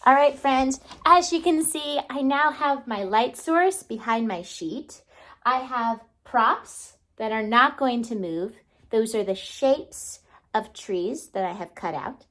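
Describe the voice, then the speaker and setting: A woman's voice: fairly high pitch, a bit bright. A woman teacher giving a casual how-to lesson for children at home, explaining simply and often checking in with questions. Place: indoors at home.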